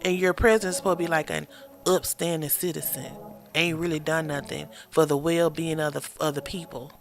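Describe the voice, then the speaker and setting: A woman's voice: low-pitched, fairly even intonation, brisk, soft background music. A woman co-hosting a podcast with her husband, talking in casual political chat, listing points wryly with sarcasm and frequent fillers. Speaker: podcast co-host.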